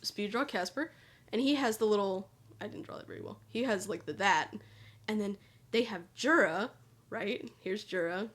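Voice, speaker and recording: A woman's voice: medium pitch, normal speed. Young woman yapping while she draws, kinda nasal, rambly, keeps restarting. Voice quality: somewhat nasal. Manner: rambling, chatty, frequent restarts and asides. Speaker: channel host. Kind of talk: casual chat while drawing.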